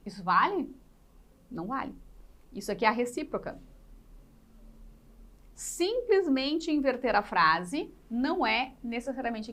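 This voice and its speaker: High-voiced woman teaching. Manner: didactic, repeats key points, poses questions to learners. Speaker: teacher in an exam-prep class. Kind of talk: live lesson explaining exercises step by step.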